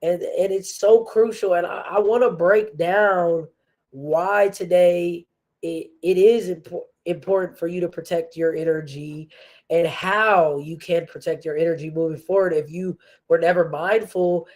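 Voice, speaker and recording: Female podcast host, congested from allergies; unhurried, earnest motivational delivery. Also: lowish voice, fairly even intonation, darkish tone. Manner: slow, earnest, with many fillers and restarts. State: stuffy nose from allergies. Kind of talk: faith-based motivational podcast talk.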